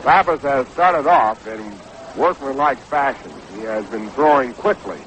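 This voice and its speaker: A male American baseball announcer gives measured, descriptive play-by-play at a middling pitch. It is an old 1960s radio broadcast from a ballpark, with faint noise and outdoor ambience around the voice. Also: a bit bright, poor audio. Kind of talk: live radio sports commentary.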